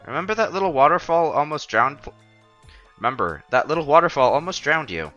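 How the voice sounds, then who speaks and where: A man reads a visual novel aloud for a stream, mixing narration with casual asides. His voice is bright and forceful, and his delivery is playful. The sound is a little dull and lo-fi, with music playing behind him. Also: a little high, big pitch swings, brisk. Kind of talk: reading story text aloud with casual commentary.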